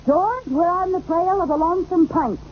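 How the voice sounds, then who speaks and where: High-pitched, excitable radio sitcom wife. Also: female voice, normal speed. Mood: wry. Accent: American. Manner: theatrical, wisecracking. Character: vain, playful, excitable suburban American wife. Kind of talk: 1940s-50s radio comedy acting. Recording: muffled, noisy old radio recording.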